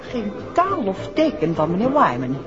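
A polite, attentive wife in a 1960s radio play. Her intonation is lively. The old recording is dull, with music clearly heard behind her. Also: mid-range voice, moderate pace. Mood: impatient. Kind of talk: 1960s Dutch radio drama acting.

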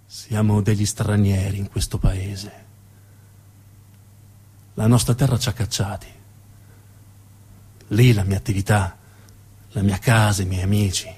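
A man's voice: fairly low pitch, little pitch movement, a little slow, long pauses, darkish tone, husky, voice pushed down. A man acting a displaced father who mourns his lost homeland in a sombre stage monologue.

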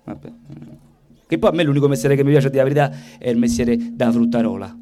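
A man's voice: mid-range voice, quick pace. A man with a Roman accent delivering a theatrical, comic stage monologue in a colloquial, rhythmic storytelling way. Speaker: stage performer, singer. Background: plucked guitar music.

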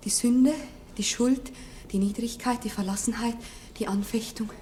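Woman as a fervent, high-voiced young bride. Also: fairly even intonation, moderate pace, close to an everyday voice. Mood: pious praying. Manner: fervent, solemn, exclamatory to hushed. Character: pious, rapturous, innocent young bride-to-be. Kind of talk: 1960s German radio drama acting. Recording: 1960s radio recording, faint hiss.

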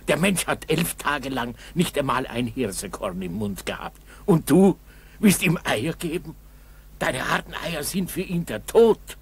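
Old peasant grandfather: compassionate grumbler, very animated delivery, creaky voice, 1960s radio drama. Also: fairly high pitch, heavily acted. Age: older. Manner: exclamatory, muttering and scolding. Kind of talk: 1960s German school radio drama acting.

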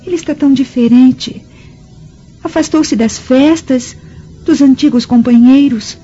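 A woman's voice: high voice, measured pace, dark tone, breathy edge. A woman playing a spurned, passionate young Roman woman in a radio drama, with a Brazilian accent. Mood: wounded longing. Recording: muffled radio drama with music.

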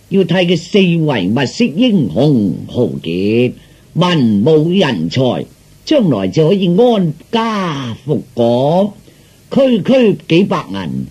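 Man acting a slow, oratorical scheming adviser. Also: a little high, a little mellow, slightly rough, exaggerated voice. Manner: oratorical, formal, ingratiating. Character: scheming, flattering male military adviser to emperor.

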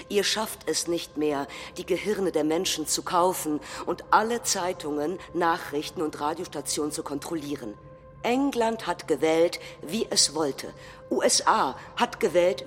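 Indignant populist orator in a reverberant hall. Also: female voice, fairly low pitch. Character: indignant, combative right-wing populist politician making a parliament speech. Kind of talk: dramatic reading of a political speech on stage. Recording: reverberant hall, faint music.

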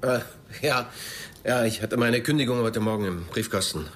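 A man's voice: mid-range voice, normal speed, natural voice. A man playing a defensive, self-justifying accused press spokesman in a radio crime drama, speaking hesitantly. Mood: reproachful. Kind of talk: naturalistic German radio drama acting.

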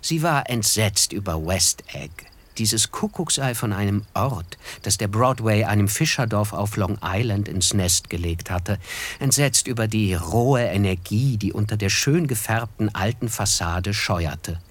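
An actor playing a reflective narrator in a literary radio play, speaking in a measured, observant way. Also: male voice, fairly low pitch, expressive. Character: reflective male narrator recalling a summer among the rich.

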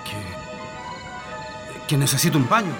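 An actor playing an anxious, submissive political prisoner in a radio drama, with a Chilean accent and a hesitant, pleading way of talking. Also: male voice, on the high side, lively intonation, measured pace. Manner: hesitant, pleading, muttering to himself. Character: anxious, submissive male political prisoner, blindfolded, longing for freedom. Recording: radio drama with music behind.

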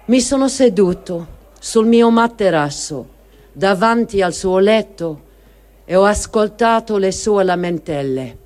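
A performer reading a first-person diary as a grieving, guilt-ridden adult child, in a deliberate dramatic style with emphatic pauses. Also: mid-range voice, lively intonation, a little mellow, close to an everyday voice. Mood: sorrowful. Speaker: reader of testimony.

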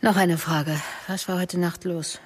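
An actress playing a wary, probing police detective in a radio crime drama, moving between questioning and private inner thoughts. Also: female voice, fairly low pitch, steady tone, measured pace, breathy edge.

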